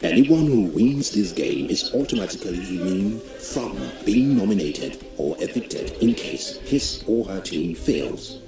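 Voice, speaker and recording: A man narrating a business reality show in a measured, announcing delivery. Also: fairly low pitch, reverberant.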